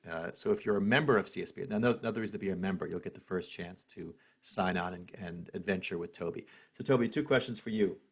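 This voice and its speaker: Low husky guy hosting a q&a on a video call, casual, lots of uhs. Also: fast talker. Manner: casual, with many "uh" fillers. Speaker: program host. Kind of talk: hosting an online Q&A.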